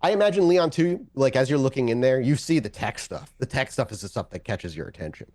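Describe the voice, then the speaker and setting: A man's voice: medium pitch, animated, a little fast, darkish tone. A man improvising narration as game master of a tabletop game, talking in a casual, conversational, sometimes profane way.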